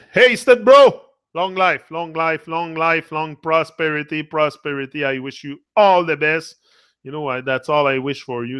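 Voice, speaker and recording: A middle-aged man with a Québécois accent chats loosely on a live stream, hopping between French and English. He rambles and fills his talk with "là", and his voice sits at an ordinary pitch. The sound is dry and close, with no room echo. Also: fairly bright tone, clean tone. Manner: rambling, talks to chat viewers by name, frequent "là" fillers. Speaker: livestream host.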